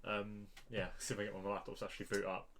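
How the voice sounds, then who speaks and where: A man's voice: on the low side, completely flat delivery, rapid-fire, a bit bright. A British man co-hosting a podcast with jokey, sarcastic, sweary banter. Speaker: podcast co-host. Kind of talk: casual banter.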